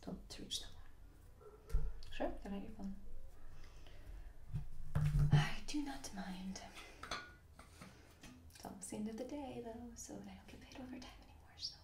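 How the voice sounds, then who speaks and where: A woman playing a grumpy, blunt HR employee in a soft-spoken ASMR roleplay, deadpan and offhand, with hesitant, grumbling phrasing. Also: mid-range voice, reverberant, far from the mic, very noisy. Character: grumpy, blunt female HR employee doing new-hire paperwork.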